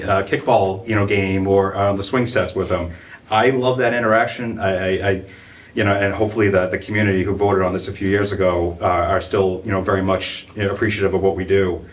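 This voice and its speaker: A police chief updating a town board in a meeting room, talking loosely with many fillers. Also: male voice, low-pitched. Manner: casual, filler-heavy, loose and earnest. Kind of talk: public meeting presentation. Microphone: room microphone from a distance. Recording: distant room mic, faint noise.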